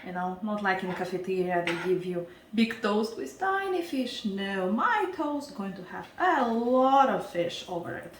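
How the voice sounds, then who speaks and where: A woman's voice: mid-range voice, sing-song, a little slow, few pauses, roomy sound, distant mic, slight background noise. A woman with a Ukrainian accent hosting a casual cooking demonstration, chatty and often checking in with her viewers. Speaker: cooking show host.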